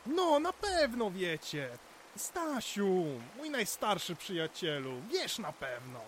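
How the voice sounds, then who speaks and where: Excitable high-voiced older village man. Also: slow, slight background noise, full character voice. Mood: jovial, coaxing. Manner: excitable, coaxing, stammering repetitions. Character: jovial older village man, chatty nostalgic friend. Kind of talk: audio drama acting.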